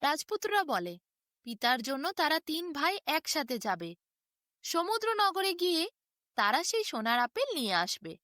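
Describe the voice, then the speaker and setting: A woman's voice: sits high, very animated, measured pace, pushed and bright, dry recording, studio quality. A woman narrating a fairy tale as a bedtime story, with a sing-song storytelling delivery. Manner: sing-song storytelling with wide rises and falls.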